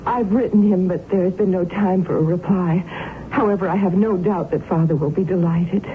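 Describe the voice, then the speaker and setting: An actress playing a devoted, genteel young fiancée in a period radio drama, speaking in formal, tender, old-fashioned phrases. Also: female voice, lowish voice, moderate pace, close to an everyday voice. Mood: troubled. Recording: noisy, tinny old radio broadcast recording.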